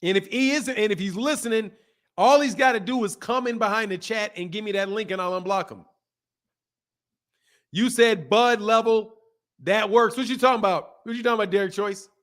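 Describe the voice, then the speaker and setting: A man in his fifties talks on a live boxing stream with a fairly high voice for a man. He answers viewers loosely and hammers his points home by saying them again and again, breaking into laughs along the way. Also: brisk. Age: 50s. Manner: animated, talks back to chat, repeats himself for emphasis, laughs often. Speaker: boxing channel host. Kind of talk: casual live-stream chat reading comments.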